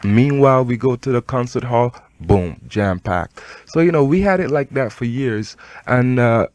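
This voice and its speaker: A man with a Barbadian-Canadian accent, a rap artist talking in a radio studio interview, animated and emphatic, with frequent restarts. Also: medium pitch, normal speed, no echo. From Barbados, raised in Canada.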